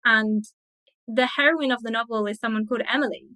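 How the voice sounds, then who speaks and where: Bright, crisp-edged woman explaining as expert guest. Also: on the high side, brisk, no echo, low-quality recording. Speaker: interview guest, academic expert. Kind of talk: conversational scholarly explanation.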